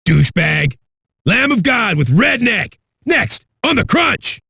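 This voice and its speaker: An actor playing a cocky, crude, manic metal radio DJ with a raspy, shouty delivery. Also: male voice, high voice, sing-song, slow, dry recording, clean studio sound, heavily acted. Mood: scornful. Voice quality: raspy, gravelly. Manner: brash, profane, shouty. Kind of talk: hard rock radio DJ patter.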